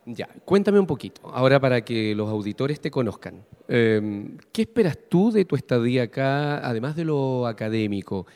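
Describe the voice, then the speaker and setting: A man's voice: mid-range voice, very animated, normal speed, hardly any pauses, mellow. A Chilean man hosts a radio interview in a mid-range voice. He asks long, probing questions that wander along and are padded with fillers. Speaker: radio host. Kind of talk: interviewing a guest.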